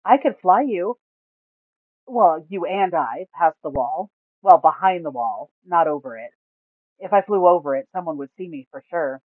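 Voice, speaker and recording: A woman's voice: fairly low pitch, animated, moderate pace, dry recording, phone-quality sound. A woman voicing a cautious, practical winged female adventurer in a fantasy story, hesitant and correcting herself. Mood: hesitant, careful.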